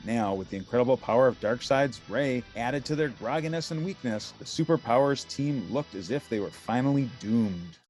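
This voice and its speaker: A male storybook narrator with a mid-pitched, animated voice. He reads adventure scenes with dramatic emphasis over a music bed. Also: moderate pace, few pauses, rough recording.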